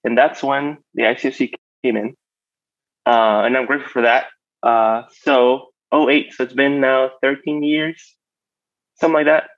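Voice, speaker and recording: A man's voice: medium pitch, steady tone, normal speed, dry recording. A man in his thirties from Mexico, chatting casually as a panel guest on slightly lo-fi audio. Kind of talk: casual self-introduction.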